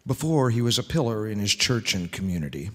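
A man's voice: on the low side, sing-song, fairly quick. A middle-aged man hosting a radio show on stage, reading scripted ads and introductions into a microphone. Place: large indoor hall, on stage. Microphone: microphone on a stand. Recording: echoey hall with long reverb.

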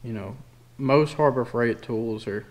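A man's voice: medium pitch, unhurried, rough recording. A plainspoken man narrating a hands-on mechanical tutorial in a casual, informal way, as a DIY mechanic in a garage. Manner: plainspoken, informal, folksy wording. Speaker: DIY mechanic demonstrating a tool. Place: indoor garage workshop.

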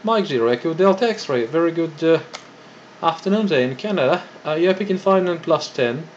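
Male ham radio operator, fairly high voice, procedural and a bit hesitant, faintly noisy recording. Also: animated, fairly quick. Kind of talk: ham radio contact exchange. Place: indoors beside a radio set. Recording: dull lo-fi audio with faint noise.

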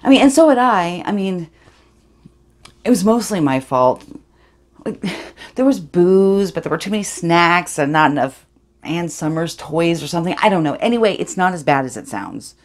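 A woman's voice: lowish voice, animated, normal speed, voice pushed up, close to an everyday voice. A woman performing a dramatic monologue as a privileged, awkward eighteen-year-old girl, sly and defensive, rambling confessionally. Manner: rambling, confessional, self-justifying.

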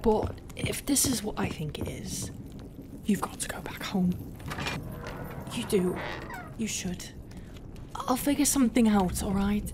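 Woman acting female pirate captain, ASMR roleplay, conflicted and tender, slow hesitant half-whisper, clear ambient noise behind. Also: medium pitch, natural voice. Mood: agitated, apologetic. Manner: hesitant, halting, often breathy half-whisper. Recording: steady ambient sound effects behind voice.

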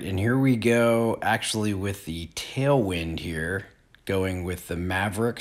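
A man in his forties giving a relaxed, plain-spoken disc golf lesson outdoors in an open field, recorded on a nearby camera. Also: sits low. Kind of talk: outdoor instructional talk.

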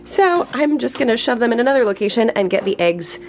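A woman in her thirties chatting casually as she cleans her home on camera, self-deprecating and quick to laugh. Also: fairly high pitch, expressive. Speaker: lifestyle vlogger. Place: kitchen and entryway of a house, indoors.

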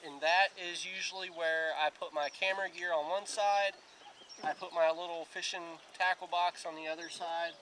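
A man's voice: fairly high pitch, steady tone, normal speed, bright, forceful tone. A man in his thirties talking casually and plainly, explaining things step by step for an outdoor vlog, recorded outside on a camera a few feet away. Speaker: outdoor vlogger. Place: outdoors, grassy yard and lakeside. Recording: outdoor camera with clear background noise.

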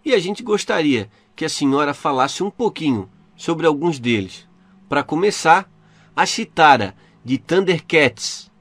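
A man's voice: on the high side, animated, measured pace, fairly bright tone, dry recording. A man with a Brazilian accent asking prepared interview questions in a formal, respectful manner.

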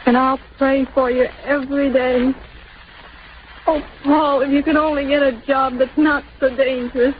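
An actress playing a frail, anxious mother of a young soldier in a tender, tearful, halting 1930s radio style. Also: sits high, level tone, natural voice. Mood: anxious, pleading. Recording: noisy, muffled 1930s radio broadcast.

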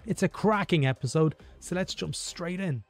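A man's voice: high-pitched, normal speed, faint music behind. A man in his forties with an Irish accent, hosting a podcast, first reading a scripted intro and then chatting loosely with many fillers. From Ireland. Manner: rambling with fillers in conversation. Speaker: podcast host. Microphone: studio mic with headphones.

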